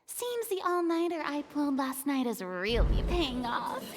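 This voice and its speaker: A woman's voice: high-pitched, sing-song, unhurried, a crowd in the background, exaggerated voice. A woman playing a smug, grandstanding young female courtroom accuser in a video game, theatrical and pompous. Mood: smug gloating. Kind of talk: dramatic video game voice acting. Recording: music behind the voice.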